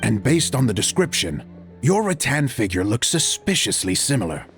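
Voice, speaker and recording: A man's voice: mid-range voice, big pitch swings, normal speed, a bit bright, soft background music. A man playing a fussy, superstitious gossip in a video game, speaking in an effusive, dramatic manner. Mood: alarmed gossip. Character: fussy, theatrical, superstitious male opera-loving gossip.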